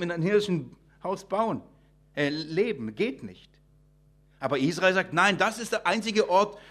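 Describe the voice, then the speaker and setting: A man preaching an extemporaneous sermon, emphatic and full of rhetorical questions and repetition, often restarting his sentences. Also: fairly high pitch.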